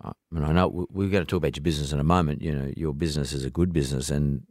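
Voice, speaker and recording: A man's voice: rumbling low, fairly even intonation, racing, a little mellow, no room sound, studio quality. A man with an Australian accent hosting a conversational interview podcast in a blunt, casual, probing manner. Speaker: podcast host.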